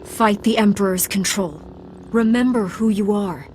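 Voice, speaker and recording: Woman playing heroic Jedi Knight, medium pitch, firm, resolute, video game acting, faint noise. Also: a little slow, lightly acted. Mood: defiant.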